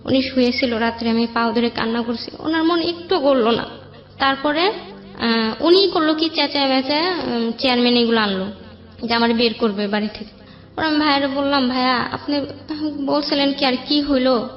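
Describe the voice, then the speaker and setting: High-voiced young Bangladeshi woman telling her story. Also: moderate pace, pauses often. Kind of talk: personal storytelling in an interview. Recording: dull roomy sound, background music.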